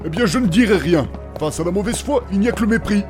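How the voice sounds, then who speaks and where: A man's voice: high voice, animated, quick pace, music in the background. A man playing a big, wry, easily offended male adventurer with mock dignity in a comedic audio drama. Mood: dry offense. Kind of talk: comedic audio fiction acting.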